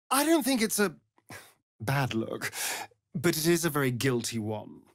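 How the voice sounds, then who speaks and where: A flamboyant male vampire rogue for a fantasy video game. He speaks in an aristocratic British accent, arch and sardonic, stretching his words at a leisurely pace with swooping, highly varied intonation. The sound is close and dry. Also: no room sound, heavily acted. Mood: dry, wry. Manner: arch, theatrical, drawling, aristocratic delivery. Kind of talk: theatrical game character dialogue.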